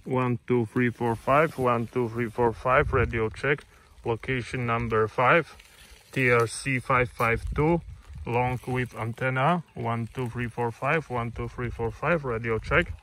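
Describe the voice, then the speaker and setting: A man's voice: mid-range voice, fairly even intonation, unhurried, fairly bright tone, a little hiss or hum, low-quality recording. A man making radio test calls outdoors, in a clipped, repetitive counting delivery. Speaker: radio hobbyist testing equipment. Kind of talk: radio check test call. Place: outdoors in grass and woods.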